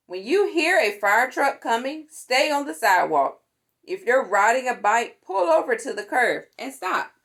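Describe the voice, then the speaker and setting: A woman reading a children's picture book aloud in an expressive, deliberate way, with playful exclamations. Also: a little high. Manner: deliberate, animated, with playful sound words and exclamations. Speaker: storytime reader for a fire department.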